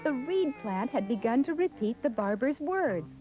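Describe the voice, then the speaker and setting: A woman's voice: sits high, sing-song, moderate pace, narrow, tinny audio. Thin-sounding female storyteller over music. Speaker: narrator. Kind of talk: scripted fairy tale narration.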